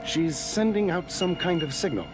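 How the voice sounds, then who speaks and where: An actor playing a decisive moon base commander, giving brisk orders in an audio drama. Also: male voice, on the high side, animated, music in the background, lightly acted. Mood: brisk, commanding.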